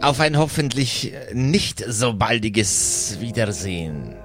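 A man's voice: medium pitch, big pitch swings, over music, voice pushed down. A man voicing a cobra-headed crime boss in a roleplay audio game, speaking formally with polite, veiled menace. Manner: slow, formal, veiled threats.

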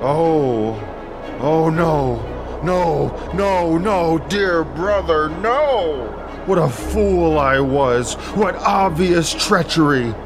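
Guy doing a tormented warrior king in an epic audio play, slow creaky voice, vowing revenge, music behind. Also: on the high side, lively intonation, exaggerated voice. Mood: anguished, guilt-ridden. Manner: anguished, declamatory. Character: grief-stricken, guilt-ridden, vengeful warrior king. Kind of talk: dramatic audio drama acting of ancient epic.

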